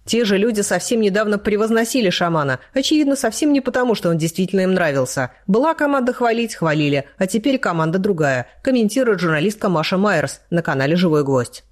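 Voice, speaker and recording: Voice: mid-range voice, lively intonation, fast talker, few pauses. A news narrator reading a scripted report in a matter-of-fact way.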